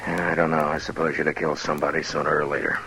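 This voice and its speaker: An American actor playing a weary, firm frontier marshal in an old radio western, terse, measured and authoritative. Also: male voice, rumbling low, level tone, sharp tone. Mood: dry, firm. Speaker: lead actor and narrator. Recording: noisy vintage radio recording.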